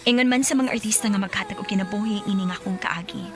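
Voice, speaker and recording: A woman's voice: mid-range voice, fast, over music, close to an everyday voice. An actress playing a reserved young working woman in a radio drama, with a Cebuano accent. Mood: earnest. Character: Nalin, young working woman, reserved girlfriend telling her story.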